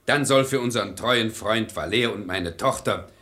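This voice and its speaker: A man's voice: lowish voice, moderate pace, sharp tone. An actor playing a hot-tempered, blustering father who has been duped, in a radio play in verse. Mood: relieved. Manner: blustering, exclamatory.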